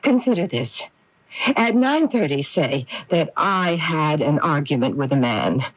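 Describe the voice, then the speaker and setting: A woman's voice: low-pitched, sing-song, measured pace, full character voice. Worldly middle-aged newspaperwoman, muffled radio. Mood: persuasive, defensive. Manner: theatrical, emphatic, repeats words. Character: middle-aged newspaperwoman, worldly, evasive, sharp-tongued, smoothly self-justifying. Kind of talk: 1980s radio mystery acting. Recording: dull, dry lo-fi radio broadcast.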